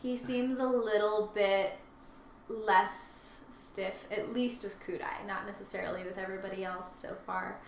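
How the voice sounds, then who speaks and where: A woman's voice: mid-range voice, level tone, a little slow, gravelly. A woman co-hosting a casual, conversational discussion of a story. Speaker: co-host. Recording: echoey room with faint ambient noise.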